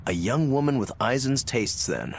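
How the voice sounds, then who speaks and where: A man's voice: mid-range voice, expressive, moderate pace, slight background noise, lightly acted. A man voicing a knowing, teasing adult trickster in an English anime game dub, with a theatrical delivery.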